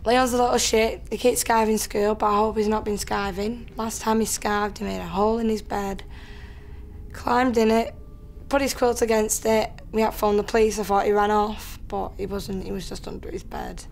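A woman's voice: mid-range voice, level tone, normal speed, vocal fry, slight background noise. A young woman, talking bluntly and casually to camera in a home interview. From Lancashire, England. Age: young adult. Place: living room at home, indoors.

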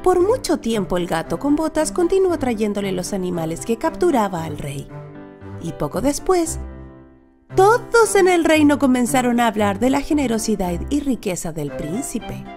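A woman narrates a children's fairy tale in a fairly high, very lively voice. Her delivery is scripted and expressive, with music playing clearly behind it. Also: moderate pace.

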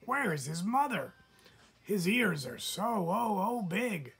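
A woman voicing a group of jabbering young goslings in a storybook, husky and fretful. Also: mid-range voice, big pitch swings, takes it slowly, crowd noise, voice pushed up, full character voice. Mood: nervous, curious.

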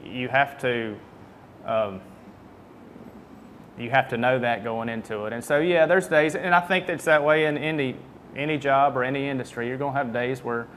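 A man's voice: medium pitch, a bit bright, slight background noise. A man in his thirties speaking candidly and hesitantly in a casual, easygoing interview. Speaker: dairy farmer. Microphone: lapel.

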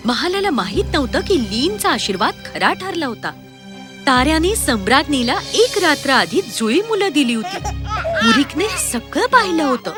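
A woman narrates a children's fairy tale with dramatic expression. Her voice is high and sweeps widely in pitch, with music clearly playing underneath. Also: big pitch swings, normal speed.

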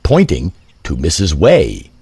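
A man's voice: a bass voice, huge pitch swings, takes it slowly, a crowd in the background. A man narrating a scripted comic story in a theatrical audio drama style.

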